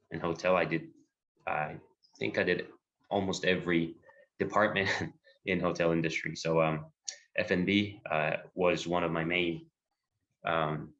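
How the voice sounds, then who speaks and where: A man born in South Korea introducing himself as a webinar guest, halting with fillers. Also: low-pitched, a bit bright, dull audio. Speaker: guest speaker.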